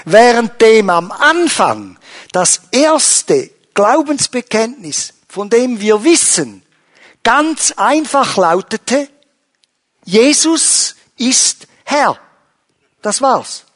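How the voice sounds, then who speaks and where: A man preaching a sermon to his congregation, rising in pitch and volume when he stresses a point. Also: extremely slow. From Switzerland. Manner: animated, rises in pitch and volume for emphasis. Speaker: pastor.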